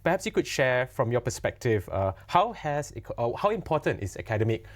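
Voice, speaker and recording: A man's voice: medium pitch, big pitch swings, brisk. A man hosting a broadcast interview, asking long, conversational questions with some fillers. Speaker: host.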